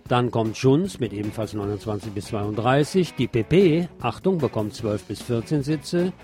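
A man's voice: medium pitch, moderate pace, dark tone. Male news presenter, mid-range voice, scripted reporting with short asides, faint music behind.

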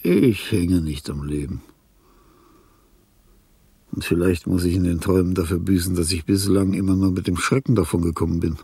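An actor playing a sick, weary old artist near death, morbid and fussy, in a theatrical, brooding radio drama monologue. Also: male voice, deep voice, fairly even intonation, moderate pace, pauses often, warm tone, dry recording. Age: older. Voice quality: husky, creaky. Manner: theatrical, brooding, slips into whispering.

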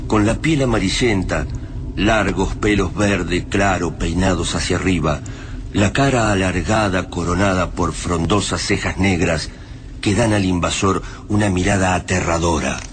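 An Argentine actor narrating a dark science-fiction radio drama in a solemn, deliberate, dramatic manner. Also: male voice, low voice, slight background noise. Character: male storyteller of a dark science-fiction tale. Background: music.

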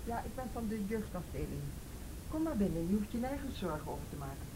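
A woman playing a reassuring youth officer in a radio play, measured, direct and patient. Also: big pitch swings, lightly acted. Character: calm, firm, reassuring policewoman of the youth department. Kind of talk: Dutch radio play acting. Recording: faint hiss and low rumble.

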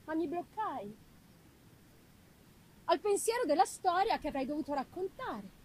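A woman performing an anguished, haunted young male scientist in a dramatic, halting gothic literary reading, emphasizing and repeating words. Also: extremely high pitch, sing-song, a little mellow, heavily acted, rough recording. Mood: grave, haunted.

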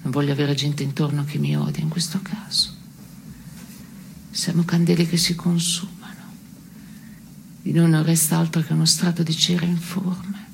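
An actress playing a scornful, morose woman in an absurdist stage play, gravelly and often hushed, speaking in a dry, deliberate way. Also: deep voice, level tone, a little hiss or hum. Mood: bleak, brooding. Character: scornful, morose woman brooding beside her male partner. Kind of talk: absurdist stage theatre dialogue and monologue.

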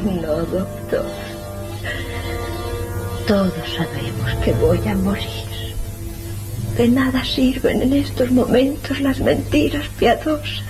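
Woman acting a slow, anguished dying mother in radio melodrama. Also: on the high side, warm tone, faint music behind, rough recording. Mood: tearful pleading. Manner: slow, anguished, tearful pleading. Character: dying widowed mother, frail, pleading, self-sacrificing. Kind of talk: melodramatic old Spanish radio serial acting.

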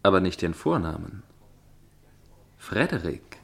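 A low-pitched gentleman storyteller from a radio play. He is inquisitive and suspicious. His delivery is formal and measured, with a probing edge. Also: sing-song, vocal fry, heavily acted. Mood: curious, probing. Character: inquisitive gentleman narrator, suspicious dinner guest with probing temper. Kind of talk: German radio play narration and dialogue.

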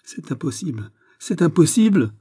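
A man's voice: medium pitch, measured pace, warm tone, pitched above the performer's own voice, lightly acted. A man voicing an anguished, guilt-ridden young woman in love, imploring and impassioned in a dramatic storybook reading. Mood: incredulous, anguished. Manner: impassioned, imploring, breathless exclamations.

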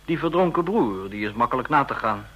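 An actor playing an ambitious, jealous young counter-espionage agent in a radio drama, insistent and sceptical in manner. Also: male voice, medium pitch, animated, fairly quick, dull audio, lightly acted. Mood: ambitious, earnest. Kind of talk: Dutch radio drama acting.

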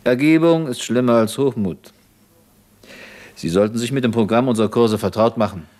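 An actor playing a zealous, self-assured revolutionary commander in a German radio play, didactic and needling. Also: male voice, mid-range voice, moderate pace, a little mellow, lightly acted.

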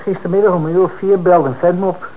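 An actor playing a defensive male suspect under questioning in an old radio play, talking quickly and protesting. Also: sits high, steady tone, phone-quality sound. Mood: earnest. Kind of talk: old Dutch radio drama acting.

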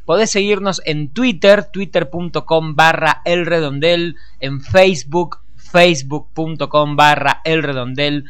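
Smooth, higher-voiced Argentine man hosting radio. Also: steady tone, measured pace, hardly any pauses, fairly bright tone. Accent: Argentine Rioplatense. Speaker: radio host. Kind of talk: conversational radio news talk.

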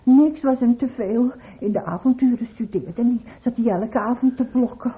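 An actress playing a nervous, fretful middle-aged mother in a radio drama, with a breathy, plaintive, rambling way of talking. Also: a little high, moderate pace. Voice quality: husky, breathy. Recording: muffled, phone-like old radio recording.

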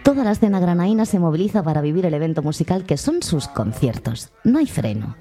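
Woman doing docu narration, lowish mellow voice that swings up and down, mock serious. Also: very animated, fairly quick. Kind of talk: documentary narration.

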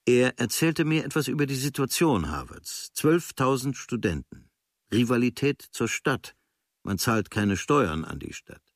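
A man playing an observant writer, reading his travel diary as a radio drama in measured, clipped literary phrases. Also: medium pitch, sing-song, no echo, clean studio sound. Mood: measured, reflective.

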